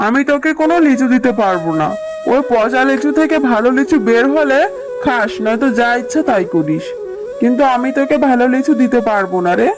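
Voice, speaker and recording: A domineering cartoon tigress with a high voice, played by a woman. She is a stingy, strict boss who scolds with sarcasm. Faint music runs underneath. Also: expressive, a little fast, natural voice. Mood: scornful, refusing. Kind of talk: children's cartoon dubbing.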